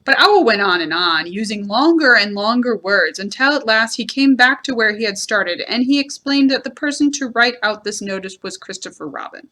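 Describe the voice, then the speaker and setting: Expressive woman storyteller, lively higher voice. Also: normal speed, few pauses. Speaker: library storyteller. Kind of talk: children's story read-aloud.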